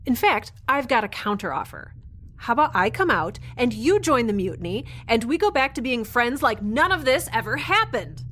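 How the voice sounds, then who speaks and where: A woman's voice: a little high, very animated, moderate pace, a bit bright. A woman playing a defiant, wry young rebel on the run in a science fiction audio drama, chatty and given to sarcastic asides.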